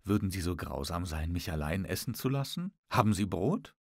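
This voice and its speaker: A man reading the part of a proud, smooth-talking young gallant in a formal, insistent audiobook manner. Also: low voice, expressive, moderate pace, dry recording, clean studio sound. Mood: courtly, teasing.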